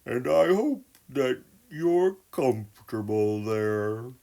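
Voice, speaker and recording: A woman acts out a big-hearted storybook moose, a gentle male who lets everyone walk over him. His voice sits low and moves slowly, with polite, accommodating phrasing. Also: animated, full character voice. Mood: gracious.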